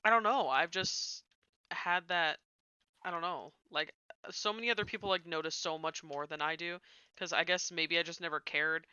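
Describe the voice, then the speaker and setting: Bright rambling woman streamer. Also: on the low side, moderate pace, clean tone, no echo, lo-fi sound. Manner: rambling, trailing off, mutters to herself while building. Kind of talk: casual chat while building in a game.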